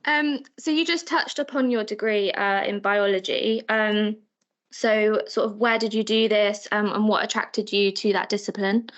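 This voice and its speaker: A woman's voice: medium pitch, fairly even intonation, moderate pace. A woman interviewer who asks polite questions and gives short affirmations. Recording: dull lo-fi audio.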